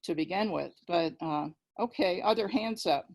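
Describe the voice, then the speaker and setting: A woman's voice: lowish voice, moderate pace, gravelly, a little mellow. A woman speaking emphatically in an informal literary discussion on a video call.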